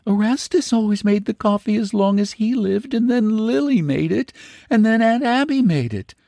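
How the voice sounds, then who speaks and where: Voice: medium pitch, expressive, normal speed, vocal fry, dark tone, no echo, clean studio sound, pitched above the performer's own voice. A reader voicing a petted, helpless young woman who speaks plaintively in rural dialect. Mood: innocent, aggrieved.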